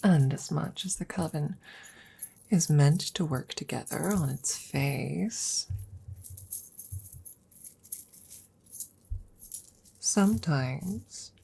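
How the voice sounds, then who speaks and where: A witch, played by a woman, speaks softly and intimately to her familiar in an ASMR audio roleplay. Her voice is low and breathy with a creaky edge. She is wry, affectionate and gently teasing, often dropping toward a whisper. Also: heavily acted. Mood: confiding, wry. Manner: unhurried, gently teasing, with soft chuckles.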